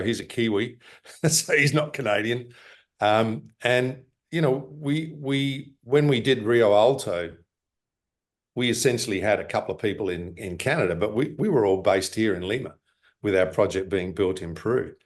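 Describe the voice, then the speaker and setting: A man working as a mining company executive, answering interview questions over a video call in a halting way, with many fillers and restarts. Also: fairly low pitch. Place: office in Lima.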